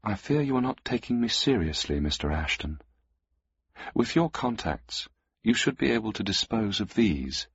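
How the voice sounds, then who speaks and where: A man voicing a poised, authoritative woman collector who speaks calmly and curtly. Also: fairly low pitch, normal speed, creaky, slightly rough, no room sound, lo-fi sound, pitched above the performer's own voice, full character voice. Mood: cool, businesslike. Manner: calm and curt. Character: cool, commanding wealthy woman collector.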